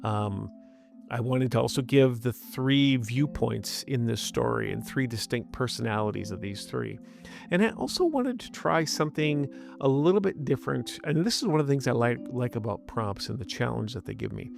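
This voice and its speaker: A man's voice: medium pitch, moderate pace, creaky, faint music behind. A man hosting a story podcast, giving an author's casual notes and show credits.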